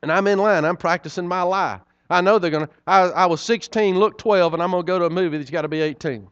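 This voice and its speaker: A man's voice: high voice, fast talker, clear, resonant tone, no room sound, muffled recording. A middle-aged man, preaching a sermon in a folksy, conversational way with frequent asides to the congregation. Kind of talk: sermon storytelling.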